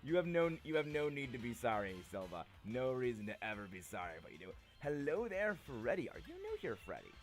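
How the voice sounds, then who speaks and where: A man's voice: mid-range voice, huge pitch swings, brisk, hardly any pauses, faint music behind. Playful, chatty young man streaming. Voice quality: slightly gravelly. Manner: playful, chatty, repeats himself, reads chat aloud. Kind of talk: casual live-stream chat with viewers.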